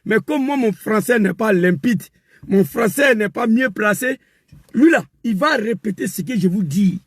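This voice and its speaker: A man delivering an impassioned political rant, emphatic and repetitive, rising to shouts. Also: high voice, lively intonation, normal speed, breathy edge, no echo, clean studio sound. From West Africa. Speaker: political commentator.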